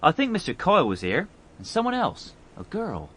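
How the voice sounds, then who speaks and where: An actor playing a helpful, chatty ship's radio operator in a radio drama. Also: male voice, sits high, huge pitch swings, normal speed, a bit bright, breathy edge, heavily acted. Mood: helpful, relaxed. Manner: obliging, chatty.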